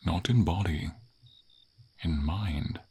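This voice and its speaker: A gentle silver dragon, acted by a man, with a very deep voice full of gravel and breath. He speaks slowly and tenderly in formal, noble phrases, with a melancholy air suited to a fantasy ASMR roleplay. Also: a little hiss or hum. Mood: reflective, sombre. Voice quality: husky, gravelly, breathy low voice.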